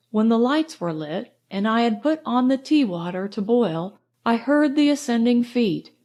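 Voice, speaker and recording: A woman narrating a literary novel in the first person, in a measured, expressive reading style. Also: fairly high pitch. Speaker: audiobook narrator.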